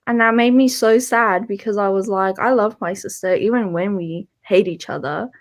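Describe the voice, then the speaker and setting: Woman cohost just chatting about books, normal pitch, kinda hesitant and trails off a bit. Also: moderate pace. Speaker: book podcast co-host. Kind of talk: casual book discussion chat.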